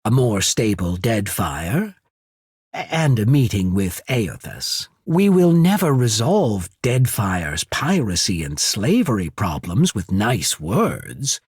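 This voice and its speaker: A husky, shrewd naval diplomat. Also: male voice, lowish voice, sing-song, darkish tone, dry recording, studio quality, heavily acted. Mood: courteous, persuasive. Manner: measured, formal, diplomatic, persuasive. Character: shrewd, courteous male naval emissary of an island empire. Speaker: video game character. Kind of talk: scripted fantasy game dialogue.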